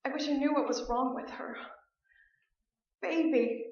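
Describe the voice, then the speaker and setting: A woman's voice: high-pitched, measured pace, a little mellow. A woman playing an anxious, worn mother in a staged radio play. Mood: worried tenderness. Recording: very echoey stage, distant, dull sound.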